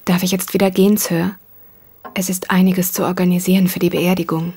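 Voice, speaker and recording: An actress playing a reserved, polite woman of a country household in a German audio drama, terse and husky. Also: fairly low pitch, flat delivery, a little fast, darkish tone. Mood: guarded, polite.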